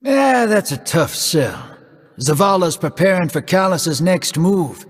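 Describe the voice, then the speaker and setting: An actor playing a wry, easygoing outlaw ally in a video game. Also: male voice, sits high, big pitch swings, slow pace, husky, heavily acted. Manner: wry, drawling. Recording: long hall reverb.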